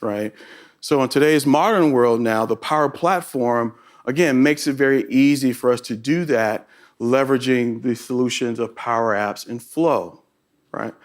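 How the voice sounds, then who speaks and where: A middle-aged man with a Texas accent giving a tech conference talk to a live audience. He keeps the room engaged, often tagging his points with a quick "right?". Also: medium pitch. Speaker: conference presenter.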